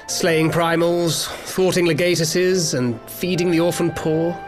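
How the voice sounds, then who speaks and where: A roguish adventurer voiced by a man speaks in a fairly high male register. He is suave and drily ironic in a fantasy game, over faint music. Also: fairly even intonation, measured pace, close to an everyday voice. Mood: teasing.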